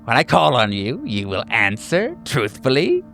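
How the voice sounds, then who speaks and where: A man voicing a fawning, sinisterly earnest group therapy doctor in a tabletop game, halting and repeating himself emphatically with nervous chuckles. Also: mid-range voice, huge pitch swings, creaky, fairly bright tone, soft background music, pitched above the performer's own voice, exaggerated voice. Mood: earnest, solemn. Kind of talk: theatrical group-session address.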